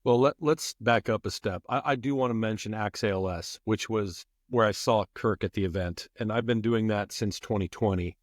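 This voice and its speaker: Middle aged guy on a podcast, lowish voice, really emphatic, close dry sound. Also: moderate pace, hardly any pauses, no echo. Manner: emphatic, repeats phrases for stress. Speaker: podcast guest, former public address announcer. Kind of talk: spirited conversational interview.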